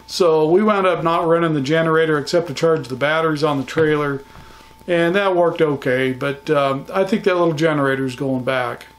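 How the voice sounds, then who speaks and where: A man giving a casual, folksy recap to viewers as a vlogger, in an easygoing, matter-of-fact way. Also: on the high side. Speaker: ham radio vlogger.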